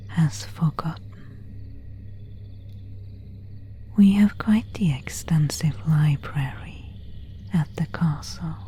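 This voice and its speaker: A woman voicing an elegant, melancholy vampire in an ASMR roleplay, in a breathy whisper with formal, old-fashioned phrasing. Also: deep voice, mellow, a little hiss or hum. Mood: softly courteous, wistful. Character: pale, elegant, melancholy female vampire of an ancient castle.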